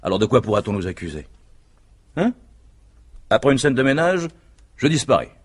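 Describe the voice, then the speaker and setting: An actor playing a self-assured, scheming husband in a vintage French radio mystery, speaking in persuasive, emphatic speeches with a husky voice. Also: male voice, mid-range voice, big pitch swings, moderate pace. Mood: eager, boastful.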